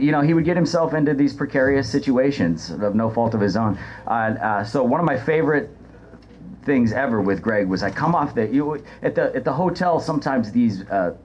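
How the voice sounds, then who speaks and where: Animated man telling stories over faint noise. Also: medium pitch, lo-fi sound. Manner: animated, chuckling delivery with stammering repeats. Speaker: podcast guest. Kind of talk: casual comic storytelling.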